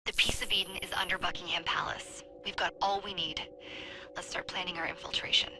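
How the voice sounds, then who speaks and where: Female as game operative: very bright, forceful, slightly low voice, decisive, faint music. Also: fairly even intonation, brisk, poor audio. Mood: focused. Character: businesslike modern female covert operative. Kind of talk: video game cutscene acting.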